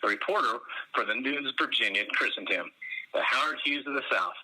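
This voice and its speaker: An actor playing a stuffy, learned historian from the in a radio drama, condescending and erudite. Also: male voice, medium pitch, expressive, brisk, bright, forceful tone, low-quality recording. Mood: storytelling. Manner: erudite, patronizing. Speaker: museum curator being interviewed. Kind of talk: radio drama acting as interview guest.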